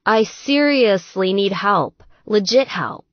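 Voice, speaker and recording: A brash, tipsy gal pal, played by a woman narrator, loyal and blunt, with a slightly slurred, emphatic delivery. Her pitch moves around a lot, and the sound is close and dry, if a bit dull. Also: lively intonation, slow, no echo. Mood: eager. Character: tipsy, blunt, loyal female best friend. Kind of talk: audiobook character dialogue.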